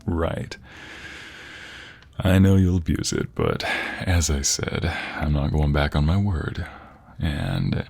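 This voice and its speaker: Behind soft music, a man acts out a doting, sappy husband in an intimate ASMR roleplay. His low voice is husky and breathy. He coaxes and teases his wife tenderly in murmurs and whispers. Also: big pitch swings, takes it slowly, long pauses, exaggerated voice. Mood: sincere, sappy. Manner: murmured coaxing with frequent sighs and breathy whispers.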